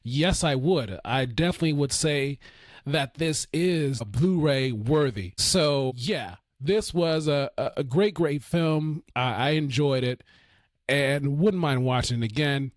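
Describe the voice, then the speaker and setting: A man hosting his own podcast, reviewing a film in a relaxed, rambling way full of fillers and restarts, with his voice going hoarse. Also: medium pitch, measured pace, no echo, clean studio sound. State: losing his voice. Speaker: podcast host. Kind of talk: casual solo film review.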